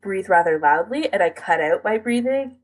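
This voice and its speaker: A woman co-hosting a podcast in casual chat, speaking in a halting, self-deprecating way. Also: mid-range voice, lively intonation. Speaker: podcast co-host.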